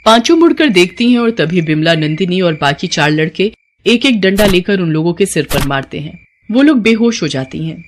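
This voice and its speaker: A woman's voice: fairly low pitch, a little hiss or hum. A woman delivering scripted narration for a moral tale as the storyteller.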